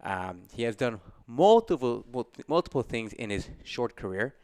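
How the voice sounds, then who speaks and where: A man's voice: medium pitch, very animated, moderate pace, darkish tone, smooth. A male podcast host with a mid-range voice leads a casual interview. He is effusive, laughs easily and often restarts his sentences.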